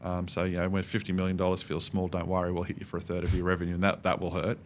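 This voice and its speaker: A middle-aged Australian man giving measured, explanatory answers as an expert guest in a conversational interview. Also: sits low, completely flat delivery, slight background noise. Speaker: podcast guest, cybersecurity expert.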